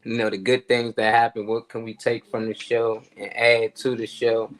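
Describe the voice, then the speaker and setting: A man's voice: mid-range voice, little pitch movement, moderate pace. A man chatting casually on a talk show, giving off-the-cuff motivational advice in colloquial, sometimes profane language. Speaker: show panelist.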